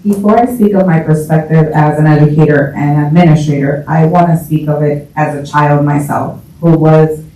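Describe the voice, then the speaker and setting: A man's voice: fairly high pitch, steady tone, moderate pace, warm tone. A man from New York, a school administrator, giving a formal, personal answer at a public meeting. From New York, United States. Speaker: school administrator, board candidate. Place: indoor council meeting room. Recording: very echoey room, distant mic.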